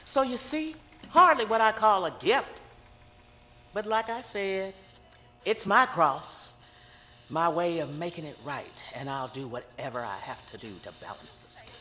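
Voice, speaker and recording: A woman's voice: fairly low pitch, expressive, a little slow, sharp tone, a little hiss or hum. Woman acting a haunted, intense psychic in echoing reverb. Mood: anguished, intense. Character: world-weary psychic woman who hears the dead, brooding. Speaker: actor in an audio horror drama. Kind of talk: dramatic audio drama acting.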